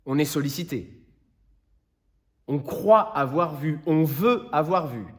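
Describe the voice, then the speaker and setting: Male actor for earnest, impassioned defence counsel, lighter-pitched man, deliberate and solemn courtroom oratory. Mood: measured, persuasive. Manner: solemn, rhetorical, deliberate phrasing. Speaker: actor performing a monologue. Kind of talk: theatrical courtroom closing speech.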